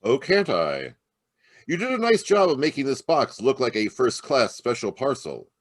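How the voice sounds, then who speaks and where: A man's voice: medium pitch, wildly swooping pitch, normal speed, no echo, clean studio sound. A man playing a firm, shrewd police inspector in old-time radio mystery style. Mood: accusing. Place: live video call at home. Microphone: video call.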